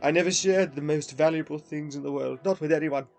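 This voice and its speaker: An actor playing a sad, repentant old grouch in a radio play, speaking haltingly and with open emotion. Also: male voice, a little high, creaky, darkish tone, faint music behind. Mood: desperate, defiant.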